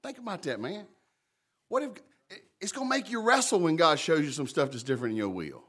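A pastor delivers a sermon in a big, booming voice. He swings from loud emphatic lines to hushed, warm asides. His tone stays conversational, and he addresses congregants directly by name. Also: fairly quick, breathy edge. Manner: lively, conversational preaching, calls out congregants by name. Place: church.

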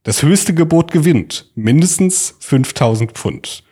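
A man's voice: on the high side, lively intonation, a little slow, dark tone, husky. A man telling a story as a podcast host in an informal, conversational way. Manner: informal, colloquial. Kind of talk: conversational storytelling, reading out quotes.

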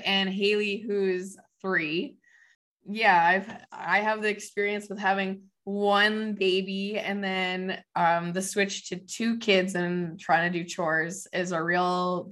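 A woman in her thirties, hoarse from a cold, co-hosting a casual chat and laughing often. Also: mid-range voice, little pitch movement, slow, fairly bright tone. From Canadian prairies. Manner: chatty, laughs often, many likes. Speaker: podcast co-host, farm mom.

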